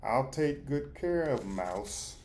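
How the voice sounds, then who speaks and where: A man's voice: medium pitch, lively intonation, slow, a little mellow, a little hiss or hum, voice pushed up. A man reading the part of a loyal, brave male robot dog in a storybook, plain and reassuring. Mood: resolute.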